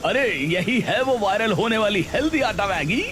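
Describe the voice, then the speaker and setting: A man's voice: sits high, animated, normal speed, a bit bright, slight background noise, voice pushed up. A man voicing an enthusiastic food blogger addressing his viewers in a cartoon. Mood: excited. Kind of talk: scripted cartoon dialogue.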